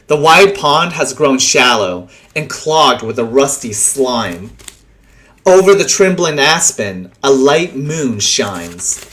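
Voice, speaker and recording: A man reading translated poems aloud in a deliberate way, pausing between short phrases. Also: medium pitch, a bit bright. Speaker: poetry reader.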